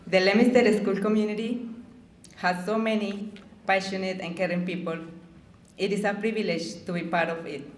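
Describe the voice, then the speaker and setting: A woman's voice: mid-range voice, steady tone, normal speed. Woman speaking in an echoey hall. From Colombia. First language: Spanish. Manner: gentle, with playful jokes. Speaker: award honoree giving acceptance speech. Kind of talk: prepared acceptance speech.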